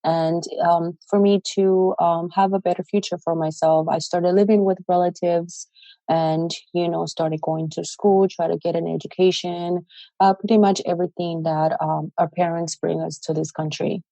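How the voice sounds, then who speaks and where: A woman's voice: lowish voice, level tone, normal speed, dark tone, no room sound, low-quality recording. A woman, a guest telling her story on a podcast interview, earnest and plain-spoken with many fillers. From El Salvador. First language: Spanish. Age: 20s. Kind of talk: casual interview.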